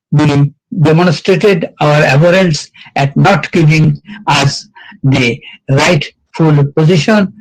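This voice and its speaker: Slow, halting older man recalling the past. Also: a little high, poor audio. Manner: slow, halting, with many fillers and restarts. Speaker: event speaker. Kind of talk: reminiscing speech.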